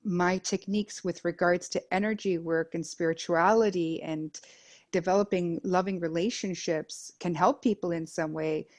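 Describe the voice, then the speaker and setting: Earnest lower-voiced middle-aged woman. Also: steady tone, normal speed. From Denmark. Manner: earnest, repeats phrases in lists, many fillers. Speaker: guest, Reiki master and life coach. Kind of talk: reflective personal interview.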